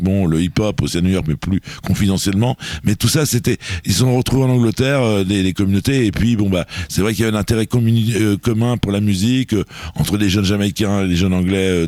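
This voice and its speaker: A man's voice: fairly low pitch, a little mellow, dry recording. A man presenting music on a radio show in a studio, chatting casually and rambling with many fillers and restarts. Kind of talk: casual radio chat about music history.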